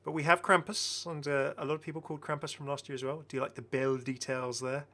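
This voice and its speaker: A man's voice: a little high, little pitch movement, quick pace, sharp tone. A man giving casual, enthusiastic game commentary as a chatty, rambling video host.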